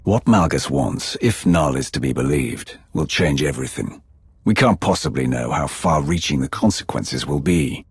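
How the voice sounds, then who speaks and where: A grim Sith warrior with an extremely deep, heavily rasping voice. He speaks tersely and with authority, a resolute commander in a dramatic game cutscene. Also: lively intonation, moderate pace, no room sound, heavily acted. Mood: grave. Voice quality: gravelly, husky.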